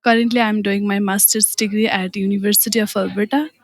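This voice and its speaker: A woman's voice: mid-range voice, flat delivery, fairly quick. A young woman with a Nepali accent, giving informal answers as an interviewee. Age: young adult. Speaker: interviewee, graduate student.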